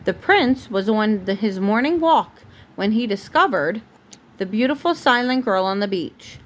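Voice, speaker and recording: A woman's voice: mid-range voice, lively intonation, measured pace. A woman reading a storybook aloud as a mother does for her young child, recorded at home. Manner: breaks off to answer the child patiently. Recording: home recording with clear background noise.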